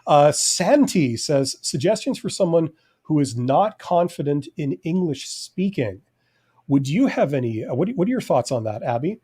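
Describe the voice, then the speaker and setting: A man co-hosting a casual live-stream chat, talking in a relaxed, rambling way with many fillers. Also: a little high, moderate pace, husky. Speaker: podcast co-host.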